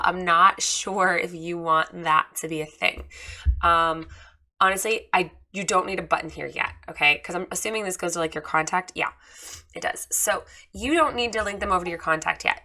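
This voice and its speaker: A woman with a slightly hoarse voice after losing it, giving a candid, chatty review of a photographer's website with many fillers. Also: on the low side, normal speed, sharp tone. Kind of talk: casual screen-share critique.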